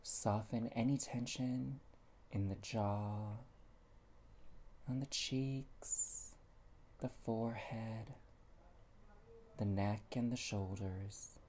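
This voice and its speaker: Slow gentle guided meditation voice, dark and mellow, soft breathy endings, faint noise behind it. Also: mid-range voice. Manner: soothing, gentle, unhurried with long pauses.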